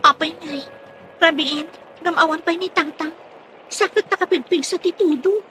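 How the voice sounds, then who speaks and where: A woman's voice: very high-pitched, normal speed, bright, forceful tone. A woman playing an anxious, fretful daughter in a radio drama, with an Ilocano accent. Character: anxious daughter fretting over her missing father.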